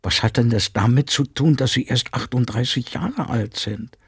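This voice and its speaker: A man's voice: mid-range voice, normal speed, husky, no echo, clean studio sound, pitched above the performer's own voice. A man reading aloud, voicing a puzzled, concerned mother who asks questions. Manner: questioning, bewildered.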